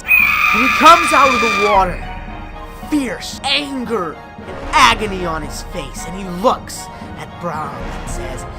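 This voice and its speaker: A man improvising a dramatic adventure story as a theatrical storyteller, swinging from hushed to loud. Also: high-pitched.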